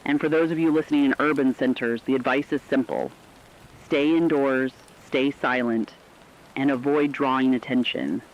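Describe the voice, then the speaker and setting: A man's voice: mid-range voice, steady tone, close to an everyday voice. Man as serious radio co-anchor, slightly muffled. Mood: serious, warning. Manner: serious, urgent, deliberate. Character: earnest male radio co-anchor warning of werewolf catastrophe. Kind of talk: scripted dramatic news narration.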